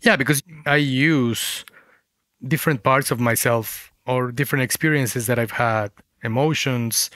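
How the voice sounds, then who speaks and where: A man's voice: medium pitch, a bit bright, no room sound. Man reflecting in a dry, close interview. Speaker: guest, fiction writer.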